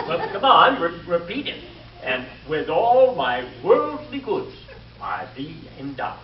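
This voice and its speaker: A man's voice: sits high, noisy background, heavily acted. A man playing a fussy, flustered justice of the peace in an old-time radio comedy. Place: live stage show before an audience. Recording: echoey, muffled, distant live stage with audience.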